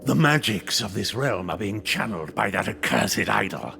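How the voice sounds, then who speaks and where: A man's voice: fairly low pitch, expressive, normal speed, vocal fry, pushed and bright, a little hiss or hum, full character voice. A man voicing a vengeful undead knight spirit in a game, arrogant, menacing and grandiose in delivery. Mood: cold menace. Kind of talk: video game cutscene acting.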